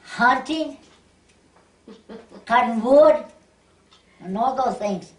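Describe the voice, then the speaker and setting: A woman's voice: fairly high pitch, animated, drawn-out words. A ninety-year-old woman reminiscing casually and plainly, often repeating her phrases. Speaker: oral history interviewee. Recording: echoey room, distant microphone.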